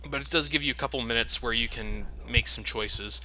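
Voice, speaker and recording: A man's voice: medium pitch, fairly quick, sharp tone. A man giving casual, sardonic, sweary commentary as he plays a video game. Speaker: video game let's player and host.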